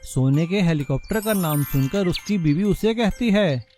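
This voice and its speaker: A man's voice: high voice, animated, normal speed, a little mellow. A man reading scripted narration for a moral story. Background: music.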